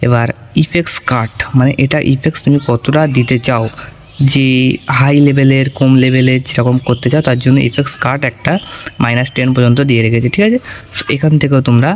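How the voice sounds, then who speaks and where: A man talks rapidly at a middling pitch as he reviews audio gear. He explains things informally and keeps checking that the listener is following. Faint ambient noise sits behind his voice. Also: steady tone. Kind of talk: informal product walkthrough.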